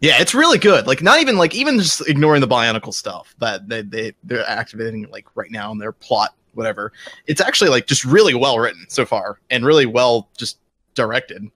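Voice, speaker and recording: Bright-voiced man bantering on a podcast. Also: mid-range voice, animated, a little fast, no room sound. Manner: teasing, ribbing his co-host. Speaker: podcast co-host. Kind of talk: casual group podcast banter.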